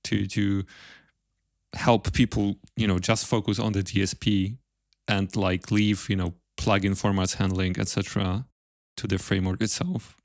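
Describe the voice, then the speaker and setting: A man's voice: low voice, level tone, normal speed, vocal fry, no echo, studio quality. A man hosting a podcast, putting casual questions and remarks to his guest. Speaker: podcast host, interviewer.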